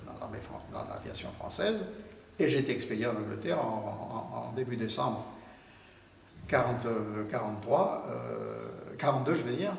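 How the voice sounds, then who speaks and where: A man's voice: mid-range voice, big pitch swings, moderate pace, vocal fry, slight background noise. A man in his eighties from Tunisia, recounting his wartime past as an oral history testimony, hesitant, with many fillers and restarts. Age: 80s. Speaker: war veteran giving testimony. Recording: distant, roomy recording with long reverb.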